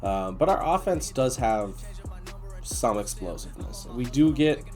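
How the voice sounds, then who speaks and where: A man with a raspy, nasal, congested voice giving casual commentary on a video game as host. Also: medium pitch, expressive, a little slow, darkish tone, soft background music. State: congested after being sick.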